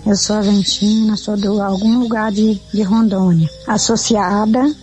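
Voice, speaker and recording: A woman's voice: medium pitch, flat delivery, measured pace, a little mellow, faint music behind. An older woman, a caller giving a personal religious testimony.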